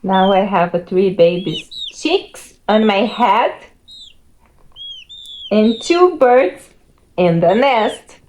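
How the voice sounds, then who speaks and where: A woman's voice: medium pitch, expressive, drawn-out words, long pauses, darkish tone, pitched below the performer's own voice. A woman voicing a worried, bewildered elephant from a picture book, exclaiming and questioning. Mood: exasperated. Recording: muffled lo-fi home recording, background noise.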